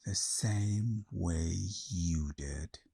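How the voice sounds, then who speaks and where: An actor playing an outcast man called mad by his island, a wary survivor with a husky, halting delivery in an audio drama. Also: sits low, dark tone, dry recording, clean studio sound, full character voice. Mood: guarded, bitter.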